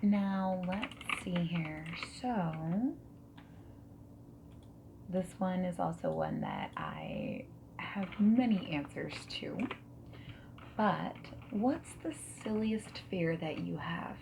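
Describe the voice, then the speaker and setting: A woman speaking softly and breathily in an ASMR roleplay, often slipping into whispers as she asks gentle, reassuring questions like a friendly interviewer. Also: fairly low pitch, drawn-out words, creaky. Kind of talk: soft-spoken ASMR roleplay. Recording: steady noise, keyboard typing and clicks. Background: mechanical keyboard typing and clicks.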